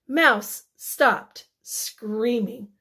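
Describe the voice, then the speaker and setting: A woman reading a picture book aloud to children, expressively and deliberately, with dramatic emphasis. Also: a little high, bright, forceful tone, slightly rough. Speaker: children's read-aloud storyteller.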